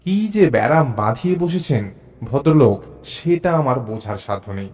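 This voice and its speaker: A man narrating a suspense story in the first person, in a measured, theatrical way. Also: mid-range voice, expressive, darkish tone. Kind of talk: dramatised suspense story narration, acting out dialogue.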